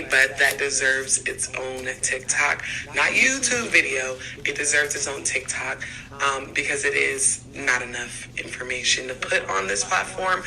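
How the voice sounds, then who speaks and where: Male online host, higher-pitched and very sharp, bright delivery, casual commentary. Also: gravelly, poor audio. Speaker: video host presenting paranormal clips.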